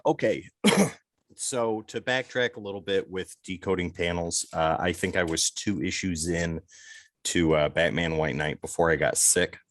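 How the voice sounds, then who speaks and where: A man's voice: low-pitched, moderate pace, a bit bright, dry recording, clean studio sound. A man co-hosting a podcast, chatting casually with many fillers and laughing often. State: recovering from losing his voice. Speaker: podcast co-host.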